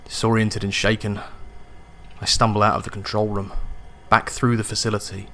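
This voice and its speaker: A man reading a first-person science fiction horror story in a measured, dramatic storytelling manner. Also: lowish voice, flat delivery, pushed and bright, a little hiss or hum. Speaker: audiobook narrator. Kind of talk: scripted first-person sci-fi horror narration. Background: atmospheric music and sound effects.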